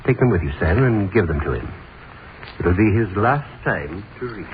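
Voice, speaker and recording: A man plays a friendly prison warden who chats in a plain, matter-of-fact way. His voice is low but moves a lot. The sound is thin and faintly hissy, like a 1930s radio broadcast. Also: animated, moderate pace, phone-quality sound, a crowd in the background, natural voice. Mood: chatty. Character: friendly middle-aged male prison warden.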